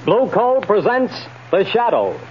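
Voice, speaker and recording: A man's voice: sits high, wildly swooping pitch, a little slow, music in the background. A man announcing in an emphatic, declamatory 1930s radio advertising style. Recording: muffled 1930s radio recording.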